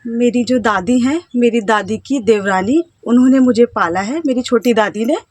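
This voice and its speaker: Woman in her 30s from india chatting to her phone at home, kinda high voice, stops and starts a lot, super dry close sound. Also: darkish tone, no echo. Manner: hesitant, halting, with self-conscious laughs and trailing phrases. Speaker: vlogger, housewife. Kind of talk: casual personal storytelling to camera.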